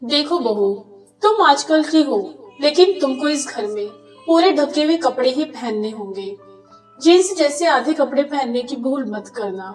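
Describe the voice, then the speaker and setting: A woman's voice: high-pitched, expressive, unhurried, a little mellow, recorded from a distance, soft background music, poor audio. A woman voicing a suspicious, sarcastic middle-aged mother-in-law who scolds in a cartoon story. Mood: scolding.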